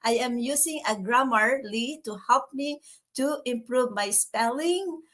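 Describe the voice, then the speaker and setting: A woman's voice: fairly high pitch, measured pace, fairly bright tone, slight background noise. A chatty, cheerful woman with a Filipino accent, whose first language is Tagalog, talking casually to her viewers. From Philippines. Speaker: vlogger and business mentor.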